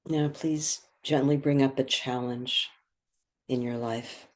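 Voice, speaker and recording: A meditation guide speaking in a gentle, contemplative, soothing and measured way, with long pauses between phrases. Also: medium pitch, fairly even intonation.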